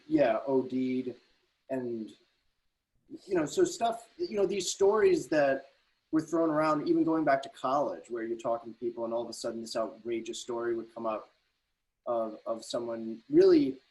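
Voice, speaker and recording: A man speaking as a playwright in casual, reflective discussion, circling his way haltingly through explanations on a video call. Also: medium pitch, a little mellow, rough recording. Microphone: computer video call.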